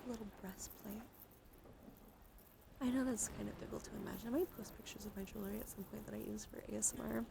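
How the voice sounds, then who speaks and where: Creaky, soft-spoken woman doing ASMR. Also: medium pitch, background noise. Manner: soothing, drifting between whispers and soft speech; affectionate toward listeners. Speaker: live streamer hosting ASMR. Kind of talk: ASMR, soft intimate chat with live viewers.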